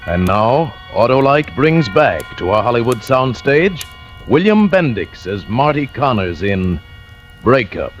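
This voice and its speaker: Male vintage radio announcer, deliberate and dramatic, over prominent music. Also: medium pitch, very animated, muffled recording.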